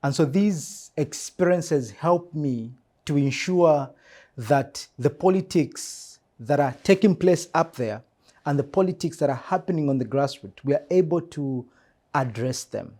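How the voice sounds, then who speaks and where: Mellow-voiced Zambian man, higher-pitched. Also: animated, a little slow. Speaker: church mission secretary. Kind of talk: earnest interview answers.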